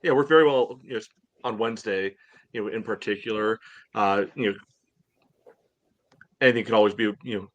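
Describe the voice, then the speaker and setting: Low-voiced man, measured sports analysis. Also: lo-fi sound. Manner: measured, hedging, says "you know" constantly. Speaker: podcast panelist.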